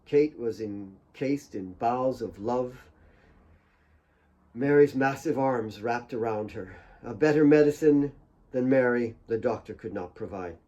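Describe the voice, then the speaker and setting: A man with a mid-pitched voice narrates a dramatic novel. His delivery is solemn and measured, with deliberate pauses between phrases. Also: dark tone, lo-fi sound. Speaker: author reading own novel.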